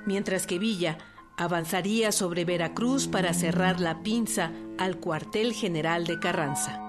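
A woman's voice: on the low side, a little slow, over music. A woman reading scripted historical narration for a radio documentary drama.

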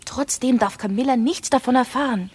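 A woman's voice: high voice, fairly quick, a little hiss or hum, natural voice. An actress playing a naive, devoted young lady in a radio drama, speaking in an earnest, anxious and solicitous way. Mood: worried, tender. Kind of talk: German radio drama acting.

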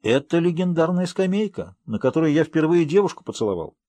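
A man's voice: fairly high pitch, very animated, brisk, warm tone, no echo, pitched above the performer's own voice. A man voicing a cheerful, confident, wordy man who is a friendly guide, in an audiobook. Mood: proud. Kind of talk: audiobook character voice.

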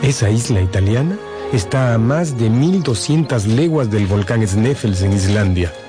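A man narrating a scripted radio drama in an expressive, deliberate storytelling style. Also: on the low side. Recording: music under the narration.

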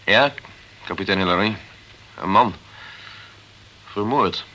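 An actor playing a dogged, straight-talking insurance investigator in a Dutch radio crime drama, direct and clipped. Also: male voice, fairly low pitch, slow pace, sharp tone, slight background noise, poor audio. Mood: weary, terse.

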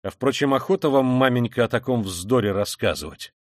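A man's voice: mid-range voice, animated, a little mellow, slightly rough, no echo, clean studio sound. A man voicing an irritable, brooding young man in a storybook, talking in abrupt, agitated bursts. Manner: abrupt bursts of hurried, forced liveliness.

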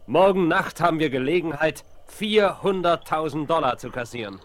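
A man's voice: on the high side, expressive, moderate pace, natural voice. An actor playing a self-satisfied outlaw rider reporting to his gang in a 1970s radio drama. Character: tramp outlaw rider, gang messenger, pleased with himself. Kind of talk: 1970s German audio drama acting. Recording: vinyl rip, loud rowdy men behind.

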